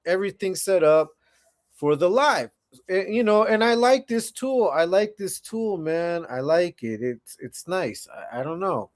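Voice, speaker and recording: A man in his forties hosting a casual livestream, chatting laid-back with viewers and often repeating himself. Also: high voice. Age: 40s. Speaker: livestream host.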